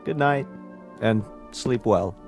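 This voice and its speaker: An actor in a radio drama playing a formal, faintly pompous doctor with deliberate, educated phrasing. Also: male voice, on the low side, music in the background. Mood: courteous.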